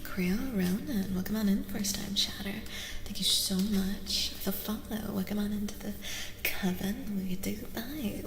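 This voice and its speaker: A woman speaking in a soft, whispery ASMR livestream style, murmuring and soothingly repeating short phrases. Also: medium pitch, creaky. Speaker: streamer hosting a tarot reading. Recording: roomy echo, music behind. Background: music.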